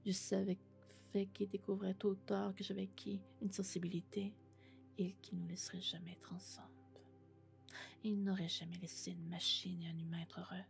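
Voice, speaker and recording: A woman playing a lovesick, possessive female robot in an ASMR roleplay, speaking in a hushed, breathy, intimate whisper. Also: mid-range voice, little pitch movement, moderate pace, soft background music, natural voice. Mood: confessing, devoted.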